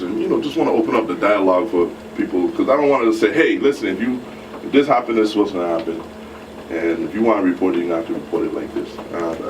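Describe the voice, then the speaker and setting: A man co-hosting casual live radio, halting and repeating words as he goes. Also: low-pitched. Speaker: radio talk show co-host. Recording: distant mic, clearly noisy background.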